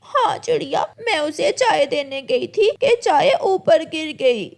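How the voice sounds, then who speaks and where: A woman's voice: high voice, sing-song, takes it slowly, vocal fry, pitched above the performer's own voice, full character voice. A woman voicing a meek, mistreated young crow wife in a cartoon story, pleading and plaintive. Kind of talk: Hindi cartoon story acting.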